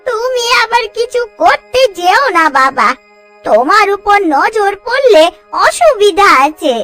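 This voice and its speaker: Worried old auntie in a cartoon, super high squeaky pitch, drags her words, fretful n cautious. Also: very animated, slow, a bit bright, soft background music, exaggerated voice. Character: worried elderly village wife, cautious and scolding. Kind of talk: Bengali moral-story cartoon dubbing.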